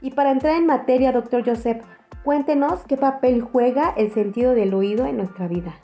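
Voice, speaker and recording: A woman's voice: high voice, moderate pace, warm tone, soft background music. A woman hosting a podcast, introducing and questioning a guest in a polished, welcoming way. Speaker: podcast host. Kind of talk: hosting an interview.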